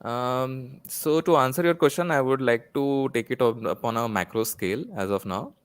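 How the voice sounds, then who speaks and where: A man's voice: mid-range voice, moderate pace, clear, resonant tone. A man with an Indian accent speaking as a panel guest, formal and wordy, in an earnest discussion on an online call. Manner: formal, wordy, long-winded phrasing.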